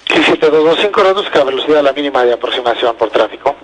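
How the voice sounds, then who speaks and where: Mexican guy doing atc, really quick clipped instructions over a slightly noisy radio. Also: medium pitch, animated. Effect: aviation radio. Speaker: air traffic controller. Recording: noisy air traffic control radio recording.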